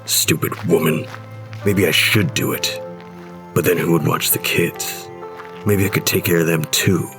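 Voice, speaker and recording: A man's voice: sits low, steady tone, measured pace, creaky, music in the background. A man playing a menacing, resentful husband who mutters with brooding contempt in a horror audio drama. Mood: bitter, menacing. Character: menacing, resentful husband obsessed with his gate to hell.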